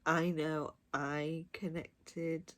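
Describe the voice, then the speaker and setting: A woman's voice: deep voice, little pitch movement, very slow. A woman giving a casual, chatty book review with gushing, emphatic enthusiasm. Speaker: audiobook reviewer.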